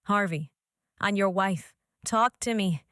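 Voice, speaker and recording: A woman's voice: mid-range voice, takes it slowly, fairly bright tone, dry recording, clean studio sound. Woman doing a worried wife begging for the truth. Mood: pleading. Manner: pleading. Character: worried pleading female wife.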